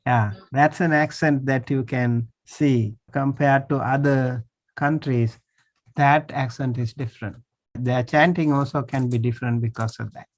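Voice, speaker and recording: A man's voice: mid-range voice, steady tone, measured pace. A man, a monk, teaching a language class over a video call, patiently checking that students follow. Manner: patient, checks understanding often.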